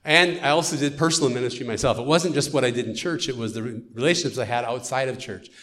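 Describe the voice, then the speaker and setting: Middle-aged American man, missionary, earnest church testimony, mid-range pitch. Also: quick pace, a bit bright. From United States. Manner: earnest storytelling.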